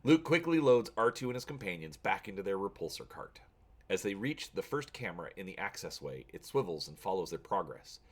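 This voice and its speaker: Low-voiced man with very lively delivery. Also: fairly quick, breathy edge. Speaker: host reading a gamebook aloud for viewers. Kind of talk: casual vlog intro and dramatic story reading.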